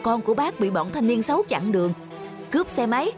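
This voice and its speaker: A woman's voice: on the high side, sing-song, fairly quick. Worried chatty middle-aged cartoon neighbour lady, faint music. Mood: worried, urgent. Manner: explanatory, concerned. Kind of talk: cartoon dubbing.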